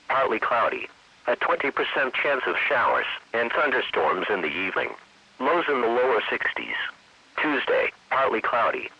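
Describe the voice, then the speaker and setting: A mid-pitched synthetic man with a rough, husky edge reads a scripted weather forecast. His delivery is formulaic and impersonal. The sound is dry, lo-fi weather radio. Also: no echo. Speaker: automated weather radio announcer. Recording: dull lo-fi weather radio stream.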